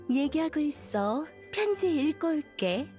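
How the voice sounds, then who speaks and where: A woman's voice: sits high, darkish tone, heavily acted. A woman playing an elegant, languid young witch in an anime game, playful, teasing and doting.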